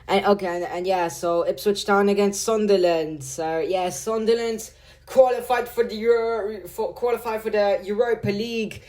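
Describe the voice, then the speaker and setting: A man's voice: high voice, steady tone, normal speed, a little mellow. Rambling guy with a slight Hungarian accent. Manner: rambling, filler-heavy, says "like" and "bro" a lot. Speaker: football vlogger. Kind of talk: casual prediction vlog.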